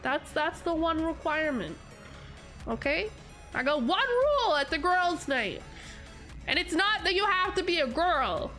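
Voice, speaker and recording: A woman's voice: extremely high pitch, very animated, a little slow, sharp tone, faint music behind. A young woman streamer chatting casually with her viewers, stuffy and congested from allergies, giggly and rambling with lots of "like"s. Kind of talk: casual livestream chat reading comments.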